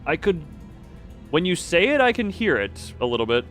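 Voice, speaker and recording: A man's voice: fairly high pitch, very animated, moderate pace, a bit bright, faint music behind. A man hosting a game livestream, chatting casually, reading dialogue aloud and often breaking off to muse. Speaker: livestream host playing a game.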